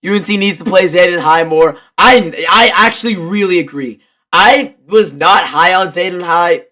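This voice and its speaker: Congested guy calling a basketball game live, kinda high bright voice, gets hyped and casual. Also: normal speed. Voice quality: stuffy, congested. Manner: excitable, shouts on big plays. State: congested from a cold. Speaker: live play-by-play commentator and streamer.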